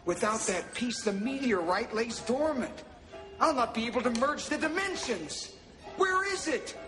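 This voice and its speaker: An actor playing an angry, imperious film villain, commanding and irritated, in an echoey film clip. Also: male voice, very high-pitched, lively intonation, a little slow, music in the background. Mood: angry, demanding. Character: angry, imperious male villain tyrant scheming to merge dimensions. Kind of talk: live-action film acting.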